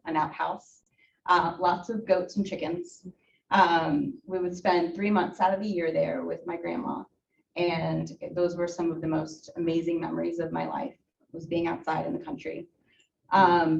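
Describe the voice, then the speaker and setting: A woman's voice: fairly low pitch, gravelly, a little hiss or hum. A woman in her thirties from Ukraine, a native Russian speaker, giving a personal talk to a club with many fillers and restarts. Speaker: guest speaker. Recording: echoey room from far off, dull.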